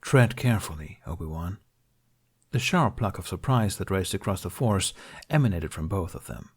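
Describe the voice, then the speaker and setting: A man narrates a dramatic audiobook from a script. His voice sits somewhat low, and he reads in a storytelling style. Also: husky.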